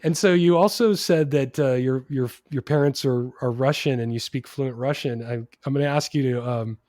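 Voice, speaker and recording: A man's voice: medium pitch, normal speed, a little mellow, no room sound, studio quality. A man hosting a podcast, asking questions in a jokey, friendly way and laughing at his own jokes. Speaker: podcast host. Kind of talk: casual interview and show outro.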